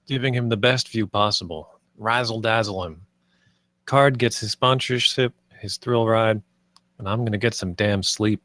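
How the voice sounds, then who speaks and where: A man's voice: fairly low pitch, normal speed, a bit bright, no echo, lightly acted. A man playing an eager, nervous theme park designer in a script table read, sounding like a slightly hesitant salesman. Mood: upbeat.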